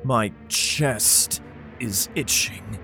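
An actor playing a grim, weary soldier who narrates his ordeal in an audio drama, grave and measured. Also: on the low side, creaky, over music, exaggerated voice. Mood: strained, grave.

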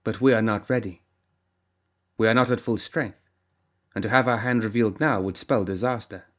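Audiobook syndicate mistress, read by a man: low, measured, formal, stern with compassion underneath, used to command. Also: fairly even intonation, a bit bright, lightly acted. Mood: resolute. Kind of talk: audiobook character acting.

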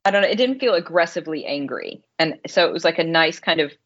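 A woman's voice: low voice, lively intonation, fast talker, a bit bright, lo-fi sound. A woman co-hosting a casual podcast about films, explaining her opinions in an articulate, conversational way. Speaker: podcast co-host and film critic. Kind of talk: casual podcast film discussion.

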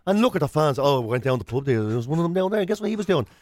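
Irish man, high voice, dry studio sound, joking radio banter, co-host. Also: big pitch swings, racing, darkish tone, dry recording.

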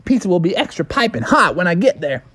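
A man's voice: high voice, big pitch swings, fast talker, sharp tone, breathy edge, dry recording, pitched above the performer's own voice, heavily acted. A man voicing an eager, cheerful bear who delivers pizza in a children's story, casual and enthusiastic. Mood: pumped up.

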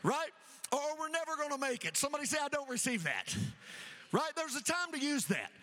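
Pastor guy preaching hard, really high voice, repeats stuff, keeps asking the church for amens. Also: sing-song, fairly quick, sharp tone, big-room sound. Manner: preachy, repeats phrases rhythmically, exhorts the crowd. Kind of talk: energetic sermon with call-and-response.

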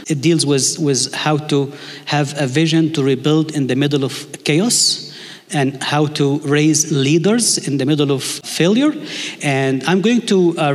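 A man with an Egyptian Arabic accent, a native Arabic speaker, preaching a sermon as a visiting pastor with a playful, joking manner. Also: on the high side. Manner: playful, jokes with the congregation. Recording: long hall reverb.

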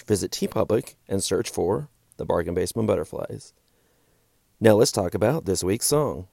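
A man's voice: low voice, vocal fry, mellow, no echo, studio quality. A man hosting a podcast, talking to listeners as its host and songwriter.

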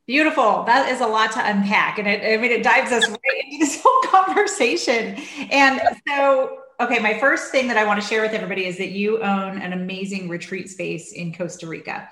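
A woman hosts an interview, her voice at a middle pitch. She asks encouraging questions with enthusiasm, and the room around her rings with echo. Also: brisk, few pauses, noisy background. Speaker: podcast host.

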